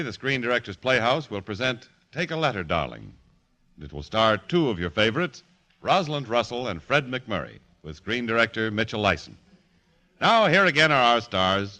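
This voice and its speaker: A man's voice: medium pitch, sing-song, normal speed, pushed and bright. A man announcing in formal, polished 1940s radio style, reading from a script. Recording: vintage radio broadcast with music behind.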